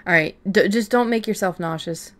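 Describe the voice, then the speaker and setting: A woman's voice: medium pitch, normal speed, a bit bright. A woman co-hosting a casual, chatty conversation about writing fiction, talking in an informal, offhand way.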